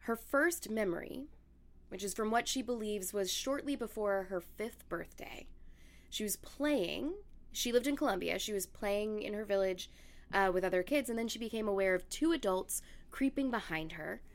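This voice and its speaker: A young woman with a husky, worn-out voice co-hosts a podcast. She chats loosely and laughs easily. She drifts into telling a story at a relaxed conversational pace. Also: moderate pace. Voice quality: deep raspy voice from yelling. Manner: chatty, laughs while talking. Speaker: podcast co-host. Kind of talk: casual chat and storytelling.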